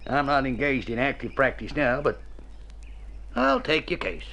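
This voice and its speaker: An actor playing a tough, stubborn old country doctor in a radio drama, folksy, with a rural American mountain accent. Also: male voice, mid-range voice, moderate pace, pushed and bright, lightly acted. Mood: confident, persuasive. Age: older. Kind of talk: Golden Age radio drama acting. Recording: noisy old radio recording.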